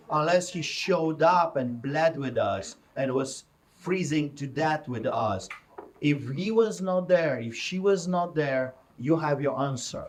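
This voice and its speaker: A man's voice: a little high, a little hiss or hum, rough recording. A middle-aged man with a Polish accent, Polish his first language, preaching fiery and mocking to a crowd. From Poland. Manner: forceful, mocking, rising to shouts. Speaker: street pastor.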